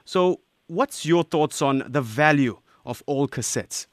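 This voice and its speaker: A man's voice: a little high, lively intonation, slow pace, no room sound, clean studio sound. A man hosts a conversational radio interview, putting questions to a guest.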